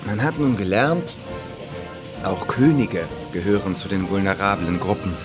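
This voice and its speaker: Wry radio play narrator over music. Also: male voice, low voice, expressive, moderate pace. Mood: dry irony. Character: wry narrator recounting Prussian history in boxing metaphors. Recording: music under the voice.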